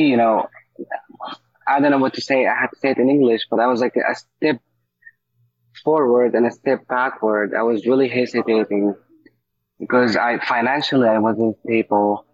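A man from Syria, a native Arabic speaker, telling his story casually as an interview guest, halting as he searches for words. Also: medium pitch. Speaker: coffee shop owner, interview guest. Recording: dull, muffled lo-fi audio.

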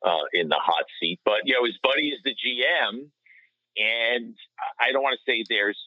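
A man co-hosting a sports podcast, discussing things casually in a measured, conversational manner. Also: medium pitch, no echo. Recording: slightly dull lo-fi audio.

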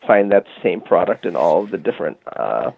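A man with a low, gravelly voice speaks over a tinny, phone-like line. He talks casually in an interview, explaining at length and often restarting with fillers. The sound is dry. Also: big pitch swings, brisk, dry recording. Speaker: guest from a music marketing company.

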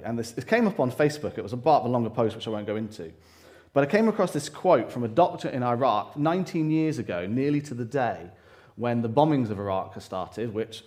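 A man delivers a sermon into a microphone in a church hall, his voice loud and carrying at a middling pitch. He speaks earnestly, often pausing, restarting and reaching for words as he goes. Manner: earnest, with frequent fillers and restarts. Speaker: preacher. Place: church hall, live congregation. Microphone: handheld or stand microphone.

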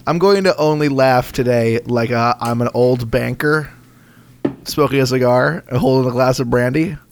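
A man's voice: medium pitch, moderate pace, gravelly, a little hiss or hum. A man co-hosting a comedy podcast in casual, rambling, jokey banter with some swearing. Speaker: podcast co-host.

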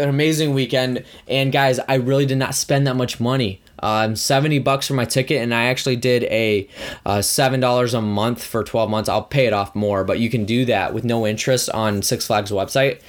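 Raspy young man rambling excitedly. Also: medium pitch. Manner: rambling, excitable, lots of fillers and restarts. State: hoarse from screaming at a concert. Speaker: podcast host. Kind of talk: casual solo podcast chat.

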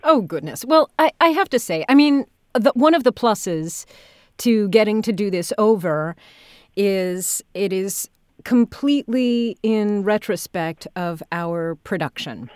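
A woman's voice: mid-range voice, animated, unhurried, darkish tone, no echo. A woman chatting casually as a podcast interviewer, feeling her way through phrases. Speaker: podcast interviewer, audio drama director and actor.

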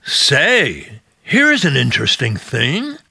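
A man's voice: medium pitch, huge pitch swings, takes it slowly, vocal fry, pushed and bright, husky, dry recording, clean studio sound, heavily acted. An actor playing a folksy, gossipy American small-town family man telling things with chatty relish, in vintage radio drama style.

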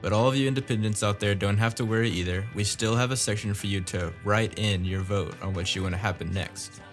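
A man's voice: lowish voice, fairly even intonation, brisk. A man co-hosting a podcast, reading scripted announcements and credits with light jokes. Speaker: podcast co-host, writer and producer. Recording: music bed behind voice.

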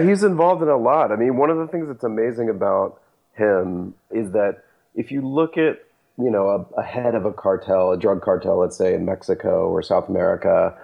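A man being interviewed as a journalist, explaining at length in a measured way with frequent fillers. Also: deep voice, gravelly, dull audio. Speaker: journalist guest. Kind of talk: interview answers.

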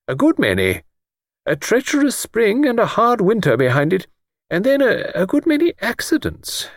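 A man voicing a mild, absent-minded, courteous old village vicar who speaks gently and hesitantly, repeating himself. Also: high-pitched, creaky, no room sound, studio quality, voice pushed up, full character voice. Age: older.

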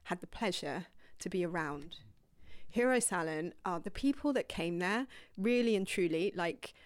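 Chatty woman rambling with soft asides. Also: fairly low pitch, animated, moderate pace, no echo. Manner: rambling, warm and candid, with soft breathy asides. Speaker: yoga teacher. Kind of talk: casual personal storytelling to viewers.

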